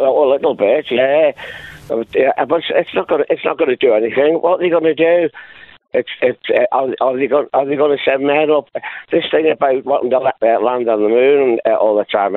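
A man calling in to a radio phone-in, hoarse from a sore throat, rambling with many halting fillers. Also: mid-range voice, dry recording. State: sore throat, losing his voice. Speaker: caller. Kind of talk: phone-in call. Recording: phone line.

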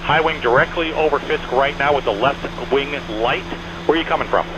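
A man's voice: mid-range voice, fairly quick, dull audio. A man working as an air traffic controller, giving clipped, repeated, courteous instructions over aviation radio. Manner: clipped, repetitive directives with courteous sign-offs. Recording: band-limited aviation radio, aircraft engine noise. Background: small airplane engine drone.

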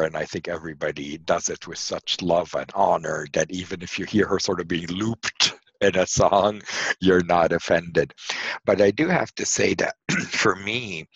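Deep husky older man on a video call. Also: no room sound. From Lebanon. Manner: warm, reflective, with frequent fillers and restarts. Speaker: lecturer and radio host being interviewed. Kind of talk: casual conversational interview. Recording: dull lo-fi video call audio.

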